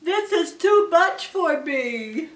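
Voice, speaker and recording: A woman's voice: extremely high pitch, expressive, slow, roomy sound, far from the mic, pitched above the performer's own voice, exaggerated voice. An elderly woman voicing a polite, naive young male elephant child in an earnest storybook reading. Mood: pained, pleading.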